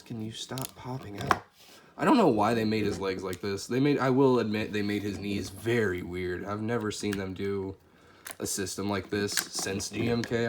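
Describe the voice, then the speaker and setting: A stuffy-nosed man with a lowish voice talks through a toy figure review, relaxed and chatty, thinking aloud as he handles it. His voice cracks a little from a cold. A clear, steady background noise runs under him. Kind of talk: casual product review.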